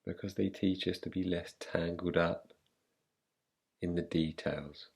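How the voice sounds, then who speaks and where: A man guiding a relaxation meditation in a calm, unhurried, soothing way, leaving long pauses between phrases. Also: deep voice, husky. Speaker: meditation guide. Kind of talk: guided relaxation, yoga nidra meditation.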